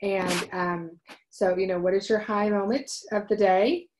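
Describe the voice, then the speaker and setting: Earnest forties woman host, loud voice, halting storytelling into a home webcam. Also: mid-range voice, steady tone, slight background noise, rough recording. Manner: earnest, many ums and restarts, talks with her hands. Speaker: host of a wellness livestream. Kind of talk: casual personal storytelling. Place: home room, indoors. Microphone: webcam at desk.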